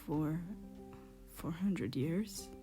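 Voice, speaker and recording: Casting: melancholy exiled painter played by a woman, low measured voice, wistful storyteller, instrumental music underneath. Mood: sorrowful, shaken. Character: exiled male court painter, centuries old, melancholy and wistful. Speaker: voice actor in a fan dub. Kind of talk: dramatic storytelling monologue. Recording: music behind the voice.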